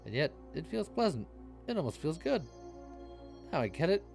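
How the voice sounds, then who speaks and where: A man voicing a bitter, brooding young male split personality from a video game in a solemn, deliberate manner. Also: a little high, big pitch swings, vocal fry, darkish tone, soft background music, pitched above the performer's own voice. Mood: brooding, resigned.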